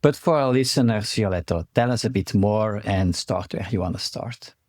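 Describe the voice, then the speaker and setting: A man's voice: fairly low pitch, moderate pace, darkish tone, no room sound. Rambling male podcast host, dry sound. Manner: loose, rambling questions with "you know". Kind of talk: conversational interview.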